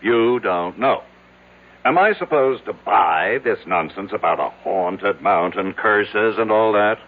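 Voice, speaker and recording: Radio drama role: skeptical, bullying executive, gruff and animated, interrogating bluntly over a thin old broadcast. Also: male voice, lowish voice, slow pace, heavily acted. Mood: angry, imperious. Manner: curt, commanding, interrogating. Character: domineering, skeptical rich businessman, impatient and blunt. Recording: tinny, band-limited old radio broadcast.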